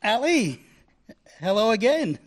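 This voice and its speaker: A man plays a young history grad student, playful and obsessive, laughing at his own corny jokes. His voice swings widely in pitch as he jokes and theorizes, in a homespun radio-play style. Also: sing-song, slow pace, heavily acted. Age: young adult. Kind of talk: amateur radio play acting.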